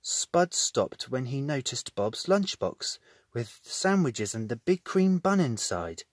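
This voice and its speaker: Male storybook narrator: unhurried, highly animated intonation, dry and close. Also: dry recording. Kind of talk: expressive children's story reading.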